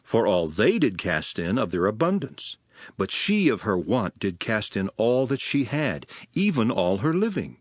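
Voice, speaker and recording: A man's voice: lowish voice, very animated, unhurried, no room sound, studio quality. An actor playing a grave, authoritative male teacher in a dramatized scripture reading, speaking solemnly and emphatically. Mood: earnest.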